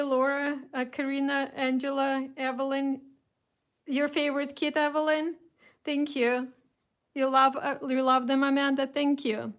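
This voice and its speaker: A woman with a Russian accent hosting a casual live craft kit reveal, chatting with viewers, greeting them by name and restarting her sentences often. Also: high voice. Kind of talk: casual live-stream chat.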